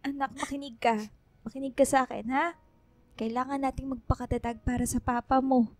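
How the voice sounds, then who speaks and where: A woman's voice: sits high, little pitch movement, fairly quick, a little mellow, no echo. An actress playing a loving, worried mother in a Filipino radio drama, speaking in a pleading, soothing, emotional way with a Filipino accent. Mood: pleading, encouraging. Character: loving, worried mother urging her grieving daughter to stay strong.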